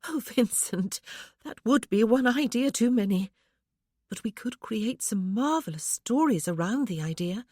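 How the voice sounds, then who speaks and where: A woman voicing a gentle, earnest young woman who speaks in a light, hesitant way. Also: fairly high pitch, expressive, a little slow, no echo, studio quality, pitched above the performer's own voice. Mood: eager.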